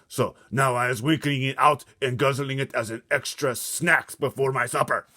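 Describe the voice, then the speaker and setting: A man voicing a huge, bullying, man-eating giant in a storybook, booming out threats and mangled words. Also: fairly even intonation, normal speed, pushed and bright, dry recording, clean studio sound. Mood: menacing, gloating. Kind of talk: storybook read-aloud character voice.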